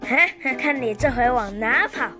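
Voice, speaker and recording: An actor voicing a cocky, scheming, vengeful young predatory dinosaur in an animated cartoon. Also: medium pitch, moderate pace, loud music behind the voice, lightly acted. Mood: gloating. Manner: boastful, plotting. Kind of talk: animated cartoon character acting.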